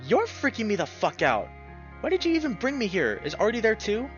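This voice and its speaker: A frightened young man, as a male narrator plays him, pleads and accuses in breathless outbursts. The voice is pushed high and swings about wildly, as in a dramatic visual novel. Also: huge pitch swings, a little fast, lo-fi sound, music in the background. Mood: panicked, angry.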